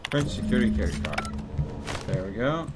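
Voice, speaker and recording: A man's voice: lowish voice, lively intonation, a little fast, very noisy. A man live-streaming a game, chatting casually with viewers and thinking aloud.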